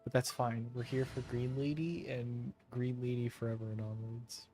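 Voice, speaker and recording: A man's voice: medium pitch, little pitch movement, moderate pace, dark tone, soft background music. A man giving casual, rambling live commentary while streaming a game, muttering to himself.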